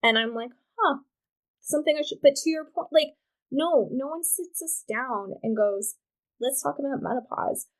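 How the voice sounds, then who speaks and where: A woman's voice: sits high, normal speed, poor audio. A woman from Wyoming, a podcast guest who advocates for reproductive rights, telling candid and funny stories in casual chat. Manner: animated, candid, comic storyteller.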